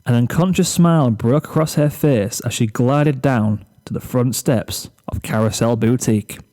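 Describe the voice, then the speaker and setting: A man's voice: mid-range voice, normal speed, mellow. A man reading a comic story aloud as narrator, in scripted narration.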